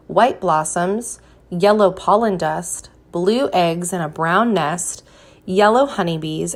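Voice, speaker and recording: A woman reading a picture book aloud like a teacher, pausing to put questions to young listeners and encourage them. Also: mid-range voice. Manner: slow, deliberate, encouraging prompts.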